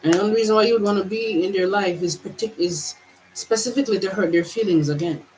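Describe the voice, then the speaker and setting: Halting, slow-musing woman livestreaming. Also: mid-range voice, darkish tone, slight background noise, poor audio. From Jamaica. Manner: halting, with frequent restarts and stretched, slowed phrases. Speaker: spiritual vlogger. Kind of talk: unscripted livestream musing to viewers. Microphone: camera mic.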